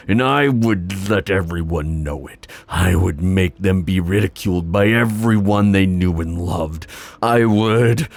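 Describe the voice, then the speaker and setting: A man playing a proud, protective sea god and father in a fantasy ASMR roleplay, musing haltingly with sighs. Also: low voice, darkish tone, no echo, exaggerated voice. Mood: vengeful, brooding.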